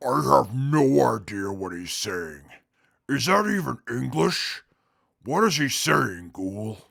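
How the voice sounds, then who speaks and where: A man's voice: medium pitch, very animated, slow, vocal fry, bright, forceful tone, no echo, studio quality, exaggerated voice. A man playing a haughty, humorless warrior in a post-apocalyptic audio drama, speaking with stiff, formal diction. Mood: exasperated.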